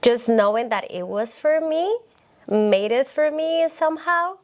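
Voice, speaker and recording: A woman speaking English as a second language, telling a story in a warm, heartfelt conversation and searching for her words. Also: high-pitched, lively intonation, unhurried. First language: not English. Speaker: guest.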